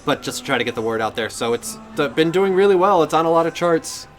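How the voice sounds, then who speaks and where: A man's voice: on the high side, expressive, quick pace, faint music behind. A man co-hosting a gaming podcast in a casual, conversational style. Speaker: podcast co-host.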